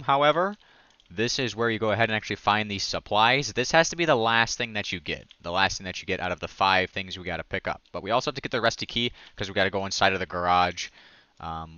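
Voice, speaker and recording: Bright-voiced man narrating a game update. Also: fairly low pitch, clean tone, no echo, dull audio. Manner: enthusiastic. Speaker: gaming video creator. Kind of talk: casual game update walkthrough.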